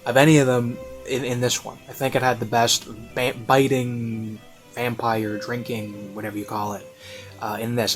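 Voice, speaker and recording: Male online reviewer, mid-range voice, off-the-cuff and meandering with many ums and likes, over soft classical music. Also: mid-range voice. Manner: rambling, hesitant, filler-heavy. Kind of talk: casual unscripted review commentary.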